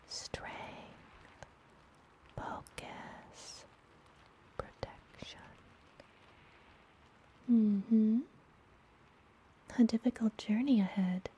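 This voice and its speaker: A woman playing a friendly, mysterious witch and healer in an ASMR fantasy roleplay, whispering softly and gently with a teasing manner. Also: medium pitch, slight background noise, low-quality recording. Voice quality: soft, breathy whisper. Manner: gentle, slow, playfully teasing.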